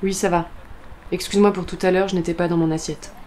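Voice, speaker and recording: A woman's voice: on the low side, flat delivery, fast, darkish tone, slight background noise, natural voice. A woman playing a frank, guarded, inquisitive woman in an audio drama, speaking directly and colloquially. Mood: confiding.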